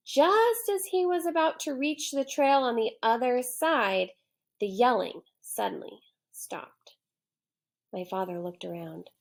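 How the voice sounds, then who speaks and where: Female teacher narrator for children's read-aloud: unhurried, fairly high, with noticeable creak. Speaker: third grade teacher.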